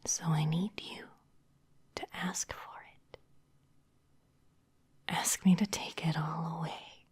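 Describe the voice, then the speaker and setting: A woman's voice: sits low, fairly even intonation, takes it slowly, darkish tone, slightly rough, exaggerated voice. A woman playing a seductive, domineering vampire office boss in an ASMR roleplay, whispering breathily in a teasing, commanding manner. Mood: commanding, hungry.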